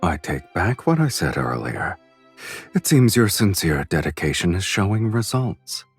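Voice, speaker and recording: A man's voice: sits low, very animated, husky, faint music behind, full character voice. A man playing a self-assured fantasy nobleman in a romance game, speaking with dry, teasing ease. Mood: amused, assured. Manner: unhurried, dryly teasing. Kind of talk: scripted game romance acting.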